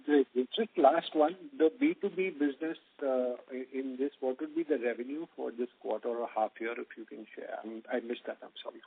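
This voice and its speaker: A man's voice: medium pitch, brisk, vocal fry, few pauses. A man asking questions as an analyst on an earnings call, polite and tentative. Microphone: telephone conference call. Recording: thin phone line.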